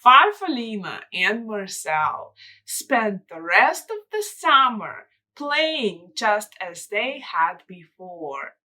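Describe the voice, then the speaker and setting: Slow, bright-voiced woman teaching children. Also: fairly high pitch. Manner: simple step-by-step explaining to children. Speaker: children's story reader and drawing teacher. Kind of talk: read-aloud and informal craft lesson for children.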